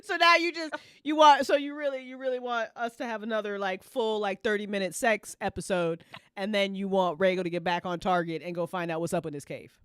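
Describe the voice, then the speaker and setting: A woman's voice: medium pitch, steady tone, a little fast, smooth, no echo, studio quality. A woman hosting a casual group chat in an informal, sweary way, often repeating phrases for emphasis. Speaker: after-show host and fiction podcast writer.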